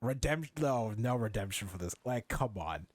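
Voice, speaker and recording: A man's voice: mid-range voice, lively intonation, normal speed, no echo. A man giving casual, blunt and sarcastic playthrough commentary with swearing, game music underneath. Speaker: gamer reacting to a story quest.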